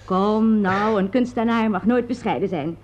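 An actress in a Dutch radio play as an effusive, dramatic theatre society woman with a husky, breathy voice. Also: medium pitch, moderate pace, a little mellow, muffled recording, slight background noise, natural voice. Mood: gushing, playful. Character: gushing society theatre woman with eerie mediumistic turns.

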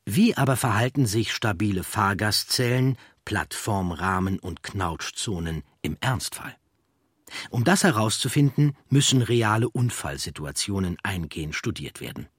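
A man reading scripted documentary narration in a measured, factual way. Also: fairly low pitch, expressive, husky, no echo, clean studio sound.

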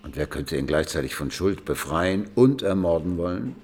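A man's voice: low-pitched, expressive, moderate pace, darkish tone, slightly rough, exaggerated voice. An actor playing a pensive, doubting police detective who reasons skeptically with his partner in a crime radio play. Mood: skeptical reasoning. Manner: ruminative, skeptical.

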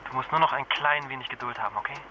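Casting: man to play patient but anxious husband, earnest, protective, mid-range voice, natural radio drama delivery amid clear ambient noise. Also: mid-range voice, rapid-fire, close to an everyday voice. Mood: tender, soothing. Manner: earnest, soothing, sometimes stammering. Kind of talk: naturalistic radio drama acting in phone calls. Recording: noisy ambience with vehicle sounds.

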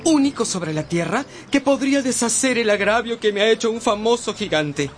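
A man's voice: high-pitched, animated, normal speed, faint music behind. An actor playing a priest who poses as a pleading, wronged princess, in an affected womanly voice for a radio drama. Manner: affected, theatrical, put-on womanly voice. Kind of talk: Spanish-language radio drama acting.

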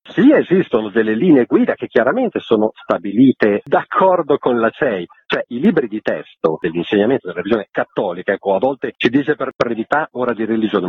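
Male interview guest, very rough husky voice with animated range, explaining in detail over a narrow telephone-like connection. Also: medium pitch, dry recording. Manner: explanatory, long detailed sentences. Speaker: interviewed spokesman of a secular association. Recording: muffled phone-like line.

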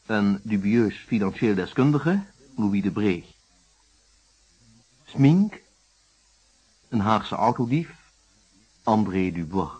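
A man with a Netherlands Dutch accent announcing radio drama credits in a formal, deliberate scripted style. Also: on the low side, darkish tone, husky. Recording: dull old radio broadcast recording.

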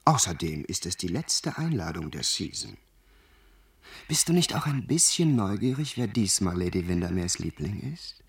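Worldly confidant for radio play: lowish, very lively intonation, teasing drawl, amused and chiding. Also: male voice, normal speed. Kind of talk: German radio drama acting.

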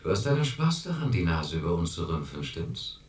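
Low-voiced, needling male therapist. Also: very animated, fairly quick. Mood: pressing, condescending. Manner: pressing, condescending questioning. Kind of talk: radio drama. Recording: roomy, distant mic.

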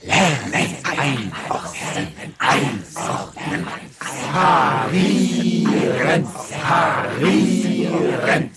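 A man's voice: mid-range voice, big pitch swings, extremely slow, long pauses, a bit bright, husky, exaggerated voice. A man playing a stern, relentless voice of schooling, chanting in an incantatory way in a stylised radio play. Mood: drilling. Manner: incantatory, repetitive, drilling.

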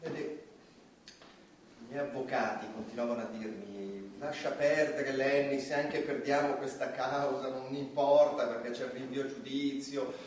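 An actor as a beleaguered male defendant, a defiant comedian arguing his own case. His mid-pitched voice is insistent and pleading, in theatrical stage style. It echoes heavily, recorded from a distance with faint noise. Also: fairly even intonation, measured pace, natural voice. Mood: frustrated, pleading. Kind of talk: theatrical courtroom stage acting in Italian.

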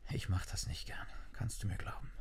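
An actor in a German radio play as a stern, driven dike master and duty-bound husband, with a gravelly, hushed voice. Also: male voice, fairly quick, whispered, lightly acted. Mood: brooding, resolute.

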